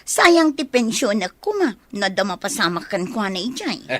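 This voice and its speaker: A woman's voice: medium pitch, sing-song, normal speed, a bit bright, no room sound. A woman playing a calculating, gold-digging young daughter in a radio comedy drama. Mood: scheming.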